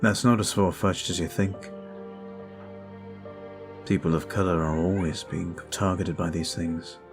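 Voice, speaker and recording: A man voicing a brooding male vampire in an ASMR roleplay, talking in a slow, intimate, confiding way. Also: low voice, steady tone, over music.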